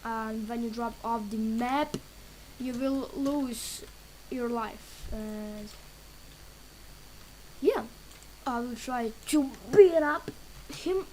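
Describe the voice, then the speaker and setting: Woman, English, fairly high voice, very slow halting delivery, casual game commentary, faint background noise. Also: warm tone, low-quality recording. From Serbia. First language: Serbian. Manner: halting, broken English with many pauses and fillers. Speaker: gaming channel creator.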